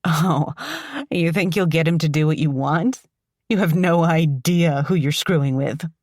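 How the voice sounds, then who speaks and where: A woman's voice: sits low, animated, unhurried, slightly rough, dry recording, clean studio sound, heavily acted. A woman voicing a fierce, defiant young woman who snarls and curses at her captor.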